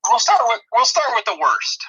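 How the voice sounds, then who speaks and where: Guy doing movie review podcast chat, really bright forceful voice, kinda dry and disparaging. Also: very high-pitched, sing-song, moderate pace, husky, dull audio. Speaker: podcast co-host.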